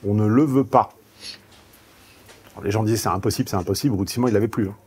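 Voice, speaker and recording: A man's voice: on the low side, fast, a little mellow, slight background noise. A man who grew up in southeastern France giving a paid seminar, frank and salty, telling personal anecdotes. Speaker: seminar speaker. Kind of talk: seminar talk.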